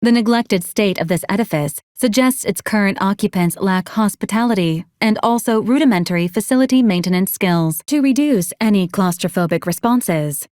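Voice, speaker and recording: A woman voicing a polite, literal-minded medical robot in a video game, speaking in formal, clinical, deadpan announcements. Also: medium pitch, moderate pace, few pauses, no room sound, studio quality, natural voice. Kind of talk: scripted video game character lines.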